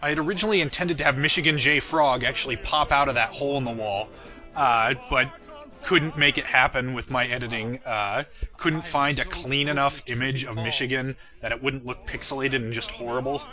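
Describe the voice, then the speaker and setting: A man with a strikingly bright, forward voice at an average pitch talks casually over his own fan parody. He is irreverent and self-deprecating, swears easily and tends to chuckle through his unscripted remarks. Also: steady tone, fairly quick, faint music behind. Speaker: creator giving commentary on own fan parody series. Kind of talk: casual unscripted video commentary.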